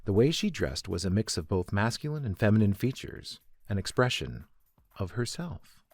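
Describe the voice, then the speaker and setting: A male narrator reads a scripted story. His low voice moves expressively through the lines, and the recording is dry and close. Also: very animated, normal speed, dry recording.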